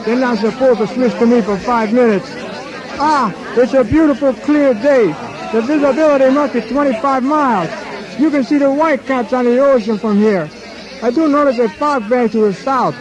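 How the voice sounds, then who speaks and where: An actor playing a chatty, amazed field reporter covering a race in a radio drama. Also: male voice, very high voice, normal speed, a crowd in the background, low-quality recording. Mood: puzzled.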